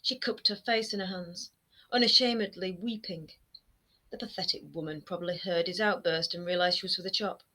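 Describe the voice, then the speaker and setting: Bright-voiced woman reading her own novel. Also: fairly low pitch, fairly quick. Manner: expressive, steady storytelling. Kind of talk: fiction reading aloud. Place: home room lined with bookshelves. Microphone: webcam framing, seated close to camera.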